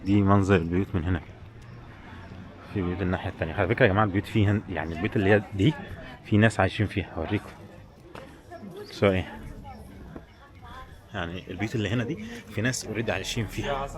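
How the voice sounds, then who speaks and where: Fast-talking Egyptian man, crowd around him. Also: fairly low pitch, animated. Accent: Egyptian Arabic. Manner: informal, chatty, conversational. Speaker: travel vlogger and host. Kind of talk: casual walking vlog chat, talking to viewers. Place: mostly outdoors, walking through a tourist village. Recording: outdoor tourist spot with crowd noise. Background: people's voices.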